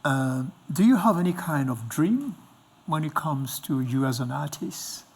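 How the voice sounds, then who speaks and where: A man's voice: on the high side, animated, measured pace, slightly rough. A middle-aged man hosting a conversational interview in an encouraging, reflective way. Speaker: interviewer, host. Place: indoor stage with dark curtains.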